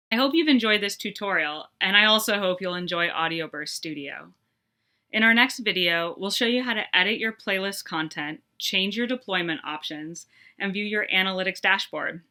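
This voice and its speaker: A woman presenting a scripted tutorial in a clear, upbeat, instructional manner. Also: medium pitch, bright, forceful tone.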